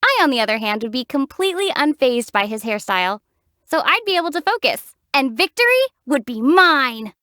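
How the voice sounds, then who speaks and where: A young wandering heroine for a video game. Her voice sits high and calm, and she explains things with dry, teasing wit. It is recorded close and dead dry in a studio. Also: fairly quick, no room sound, exaggerated voice. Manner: dry teasing explanations and sharp battle shouts. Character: young female traveler hero, calm and wry.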